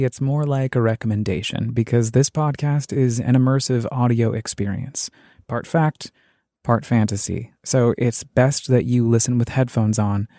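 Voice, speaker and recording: A man hosting a podcast, reading scripted, reflective narration in a measured, earnest way. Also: mid-range voice, warm tone, dry recording, studio quality. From United States.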